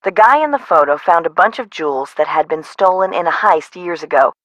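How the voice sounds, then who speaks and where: A woman's voice: fairly low pitch, animated, fairly quick, fairly bright tone, dry recording, rough recording. An actress voicing a frazzled, no-nonsense woman who runs an amusement park in a video game, conversational and dry. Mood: matter-of-fact, regretful. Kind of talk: scripted video game voice acting.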